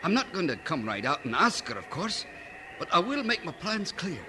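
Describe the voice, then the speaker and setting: A man playing a vain, excitable lord in an audio drama, animated and boastful. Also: high voice, moderate pace, noisy background. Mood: eager. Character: vain, excitable Scottish lord planning a royal marriage.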